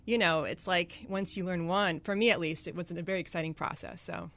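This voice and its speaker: A woman's voice: on the low side, fairly even intonation, fairly quick, a bit bright. A woman from Connecticut, a singer-songwriter, chatting as a guest in a radio studio interview, with many ums and easy laughs. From Greenwich, Connecticut.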